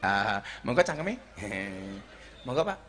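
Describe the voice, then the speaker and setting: A middle-aged man with a Javanese accent preaching a humorous sermon on an outdoor stage into a handheld mic, joking and swinging between shouts and low asides. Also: sing-song, takes it slowly. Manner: walks the stage with a cane. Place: outdoor stage before a large crowd.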